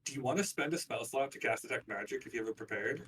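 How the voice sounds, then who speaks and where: A man's voice: mid-range voice, fast talker, fairly bright tone, husky. A man runs a tabletop fantasy game as game master in a mid-range voice. He narrates in a conversational, improvised way with some hesitation. The microphone sounds a little distant from him.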